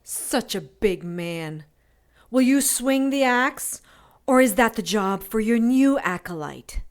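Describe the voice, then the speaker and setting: A woman's voice: on the high side, animated, takes it slowly. An actress playing a defiant woman held prisoner in an audio drama, biting and full of scorn. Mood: scornful.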